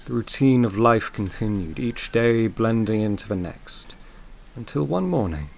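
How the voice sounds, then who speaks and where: A man narrating a scripted audio drama as a solemn, gentle storyteller, measured, with dramatic pauses. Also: lowish voice, lively intonation, vocal fry, full character voice. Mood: hushed suspense. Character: solemn, gentle male storyteller of a grief tale.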